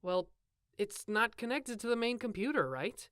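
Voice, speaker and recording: A woman voicing a casual, excitable young male college student in a game fan dub. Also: fairly high pitch, normal speed, no echo, studio quality. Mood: casual, puzzled. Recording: music playing behind the voice.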